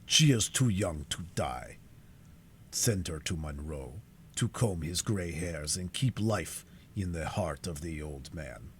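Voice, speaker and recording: A man putting on a slow, taunting warrior captor. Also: low voice, lively intonation, slight background noise, pitched below the performer's own voice.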